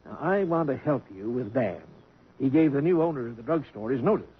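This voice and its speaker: A man's voice: medium pitch, animated, fairly quick, slightly rough. An actor playing a sly, genial older grandfather who schemes to help his granddaughter, in a 1950s radio drama. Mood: confiding. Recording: lo-fi old radio broadcast recording.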